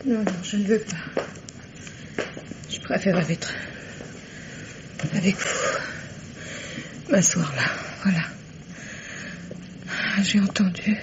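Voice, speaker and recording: A woman's voice: medium pitch, steady tone, extremely slow, pauses often. An actress playing a fragile, despairing mother in 1950s French radio drama style, plaintive and faltering. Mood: stunned, plaintive. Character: grief-stricken mother, fragile, shocked, despairing. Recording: dull, noisy old radio recording.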